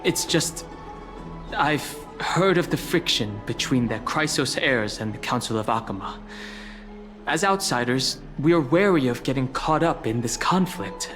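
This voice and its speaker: A man playing a calm, guarded and courteous young traveler in a video game, speaking politely and with reserve. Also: medium pitch, measured pace, music in the background, lightly acted. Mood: wary, polite.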